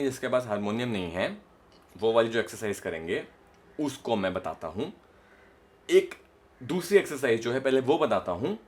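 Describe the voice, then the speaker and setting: A young man teaching voice modulation in a casual spoken lesson, explaining exercises patiently and demonstrating them himself. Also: mid-range voice. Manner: slow, explanatory, repeats a line on rising notes. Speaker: voice coach.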